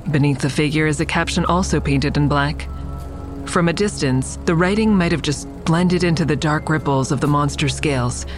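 An actress playing a reflective, tender woman who narrates an audio drama in measured, descriptive first person. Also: low-pitched, music in the background, close to an everyday voice. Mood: uneasy, suspenseful. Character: reflective woman admiring a friend's mural, tender. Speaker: narrator and lead character.

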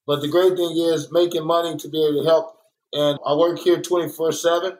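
Guest, young adult man from LA, earnest and reflective, leans a bit high in pitch. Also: little pitch movement, a little fast, a little mellow, rough recording. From born in Kansas City, raised in South Central Los Angeles. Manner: earnest, reflective, repeats points for emphasis. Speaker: muralist and guest. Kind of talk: candid conversational interview.

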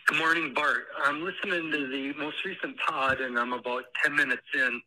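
A man leaving a phone voicemail with measured, mock-formal wording. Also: fairly high pitch, steady tone, poor audio. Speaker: voicemail caller. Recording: sharp voicemail phone recording.

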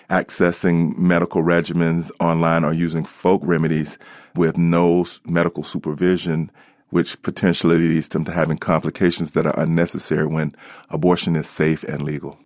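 A middle-aged American man from Alabama reflecting in an interview as a doctor, speaking in a measured, articulate way. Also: low-pitched, darkish tone, dry recording, clean studio sound. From Alabama, United States.

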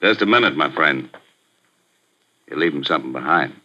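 A ruthless gangster boss speaks in an extremely deep, hard-edged voice, slow and menacing, full of street slang. The sound is a muffled 1940s radio broadcast. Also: male voice, animated, bright, forceful tone, a little hiss or hum, exaggerated voice. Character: ruthless male gangster gambling boss, middle-aged, menacing. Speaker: gambling house owner.

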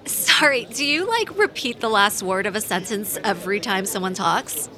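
A woman's voice: mid-range voice, animated, moderate pace, pushed and bright. An actress playing a blunt, breezy, trendy young woman in an audio drama, airy and condescending. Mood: snide, breezy. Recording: busy background crowd chatter.

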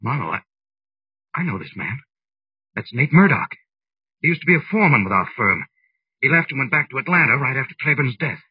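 Husky, earnest radio-drama executive, animated. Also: male voice, medium pitch, brisk, pauses often, no echo. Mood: earnest, subdued. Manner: earnest, worried, proper. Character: earnest, anxious young male business executive in love. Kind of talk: 1940s radio drama acting. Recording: muffled old-time radio recording.

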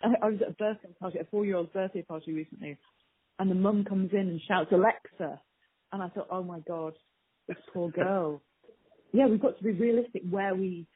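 A woman's voice: lowish voice, breathy edge. A woman giving informal, emphatic and candid answers as a marketing guest in an interview. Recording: thin phone-like line.

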